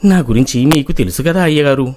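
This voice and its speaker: A man's voice: fairly high pitch, fast, darkish tone, close to an everyday voice. A man voicing an honest, troubled village farmer in a moral story, humble and plaintive. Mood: aggrieved, pleading. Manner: plaintive, respectful. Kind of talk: moral-story character acting.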